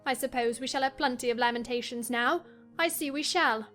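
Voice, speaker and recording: A woman's voice: high voice, a little fast, a bit bright. A woman voicing a passionate, delirious, headstrong young wife with a scornful, commanding, dramatic manner in a classic novel reading. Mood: bitter, feverish. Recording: music behind the voice.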